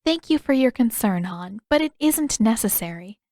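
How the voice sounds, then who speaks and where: A woman voicing a polite, gentle, composed teenage princess in an audiobook story. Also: on the high side, animated, moderate pace, no echo, natural voice. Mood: politely strained.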